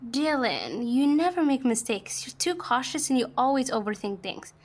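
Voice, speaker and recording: A woman's voice: sits high, very animated, moderate pace, heavily acted. A young woman playing a pushy, encouraging teenage girl, the best friend in a student audio drama, insistent and coaxing. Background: music behind the voice.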